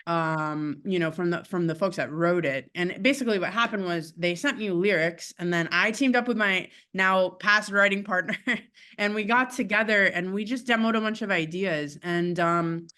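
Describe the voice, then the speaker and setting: A woman with a low voice, a guest musician in a casual interview, chatty and laughing often with many fillers. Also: normal speed, sharp tone.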